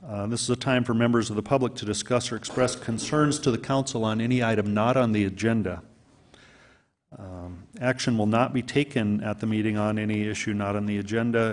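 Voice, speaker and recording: A man chairing a city council meeting, reading procedure and calling speakers in a relaxed way with fillers. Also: lowish voice, a little fast.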